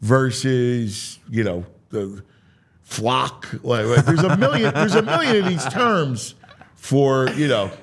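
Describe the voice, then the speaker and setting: A man's voice: medium pitch, lively intonation, slow pace, slightly rough. An American man hosting a sports talk show in casual banter, repeating and restarting phrases for emphasis.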